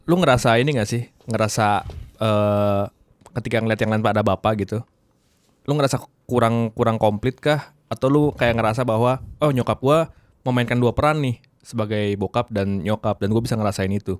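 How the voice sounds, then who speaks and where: A man's voice: lowish voice, normal speed, dry recording. A young man hosting a casual podcast, asking probing questions. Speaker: podcast host. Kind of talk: casual interview chat.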